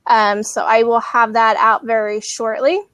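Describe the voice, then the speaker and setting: Woman host, bright higher voice, dry close sound, casual chatty delivery. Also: little pitch movement, unhurried, no room sound. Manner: chatty, laughs often, many ums. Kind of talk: casual live video chat.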